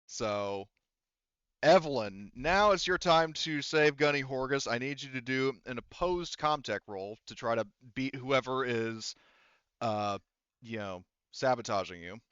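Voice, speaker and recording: A man's voice: mid-range voice, lively intonation, measured pace, fairly bright tone, clear, resonant tone, no echo, highs cut off. A man running a tabletop role-playing game as game master, casually thinking aloud as he handles the rules.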